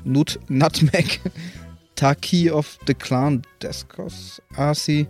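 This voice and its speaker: A man's voice: fairly high pitch, steady tone, very slow, faint music behind. A man chatting casually in a group while working through character options. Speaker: podcast player creating a character.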